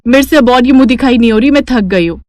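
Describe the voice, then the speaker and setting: A haughty young bride from a rich house, acted by a woman storyteller. Her high voice snaps with scorn and rudeness, as in a cartoon family drama. The sound is close and dry. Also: fast, a little mellow, no echo, natural voice. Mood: scornful, irritated. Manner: snapping, scornful.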